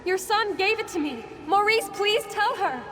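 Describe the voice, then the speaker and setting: A desperate young servant girl pleads in a very high, thin-pitched voice. It is fantasy game drama, lovelorn and earnest, with clear noise behind it. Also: lively intonation, normal speed. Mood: desperate pleading. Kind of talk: video game drama acting. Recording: noisy game audio capture.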